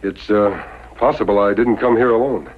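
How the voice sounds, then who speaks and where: An actor playing a calm, resolute masked Western hero in 1950s radio style, speaking with measured authority. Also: male voice, lowish voice, lightly acted. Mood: calm, firm. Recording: muffled, noisy old radio recording.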